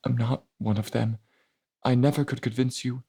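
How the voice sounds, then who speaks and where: A man voicing a tearful, devoted woman in an audiobook, pleading in an anguished, halting way. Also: medium pitch, fairly even intonation, gravelly, dark tone, husky, voice pushed up. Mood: sorrowful.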